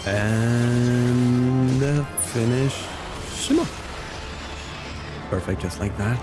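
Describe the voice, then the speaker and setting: A man's voice: mid-range voice, extremely slow. A man giving casual gameplay commentary and chatting to viewers as a livestreamer, with music behind him. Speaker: game livestreamer.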